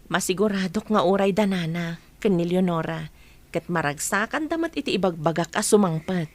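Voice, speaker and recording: A woman's voice: lowish voice, animated, fairly quick, close to an everyday voice. A woman playing a kind, reassuring young woman with an Ilocano accent in a radio drama, respectful to her elders. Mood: coy, reassuring.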